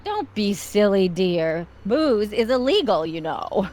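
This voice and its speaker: A woman's voice: medium pitch, very animated, takes it slowly, darkish tone. An actress voicing a tipsy, defensive middle-aged mother in a video game, drawling and melodramatic. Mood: tipsy, dismissive.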